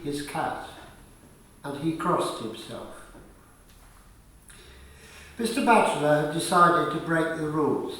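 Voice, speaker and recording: A man narrating a scripted radio play in a measured, deliberate storytelling manner. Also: on the high side, a bit bright. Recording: very echoey, distant from the mic.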